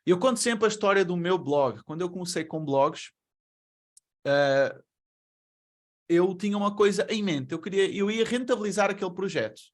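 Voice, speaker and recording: Man, European Portuguese accent, fairly high voice, webinar speaker, chatty and full of rhetorical questions, on a video call mic. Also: moderate pace. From Brazil. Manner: conversational, asks rhetorical questions. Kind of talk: presentation to an online audience.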